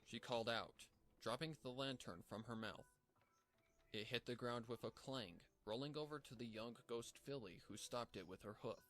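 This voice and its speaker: A man's voice: mid-range voice, normal speed, a bit bright, soft background music. A man reading a scripted story as a dramatic narrator, with a noisy background. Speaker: fan fiction narrator.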